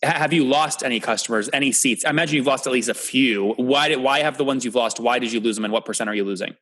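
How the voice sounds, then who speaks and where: Male business podcast interviewer, quick pace, average pitch, blunt unscripted questioning that keeps pushing. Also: fairly bright tone. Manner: blunt, probing, pressing with rapid follow-up questions.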